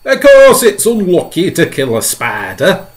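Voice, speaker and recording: A man voicing a boisterous, cheeky male centipede, loud and sing-song. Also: fairly high pitch, very animated, a little slow, voice pushed up. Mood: gleeful.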